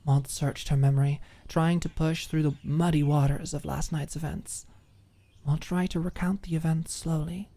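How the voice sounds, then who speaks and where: A woman reading a scripted story as an audiobook narrator, in an expressive ASMR storytelling style. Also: low-pitched, normal speed.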